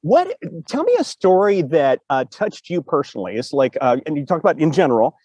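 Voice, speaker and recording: A man's voice: on the high side, very animated, brisk, darkish tone, dry recording, muffled recording. A man hosting a casual, unscripted interview, asking questions in an informal, rambling way. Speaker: talk show host.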